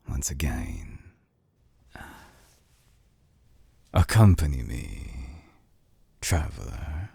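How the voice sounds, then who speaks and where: Man voicing an obsessive scientist villain, extremely deep, very slow husky murmur, possessive, calculating, close and intimate audio drama. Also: darkish tone, dry recording, heavily acted. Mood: coaxing menace. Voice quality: very deep, gravelly, husky, breathy half-whisper. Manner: slow, deliberate, silky menace with lingering pauses. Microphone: close binaural microphone, very dry.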